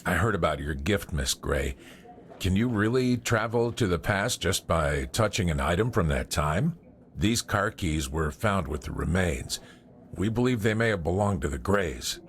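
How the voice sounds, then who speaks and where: Polite serious sheriff from a mystery game, really deep voice. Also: male voice, expressive, normal speed, a little hiss or hum. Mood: solemn, courteous. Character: deep-voiced small-town sheriff, solemn and courteous. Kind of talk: video game cutscene acting.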